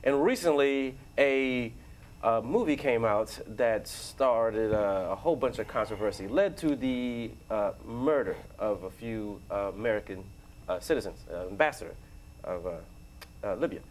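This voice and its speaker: A man's voice: medium pitch, expressive, normal speed, slight background noise. A man hosting a joking studio panel chat, teasing his guests and laughing often. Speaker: panel show host.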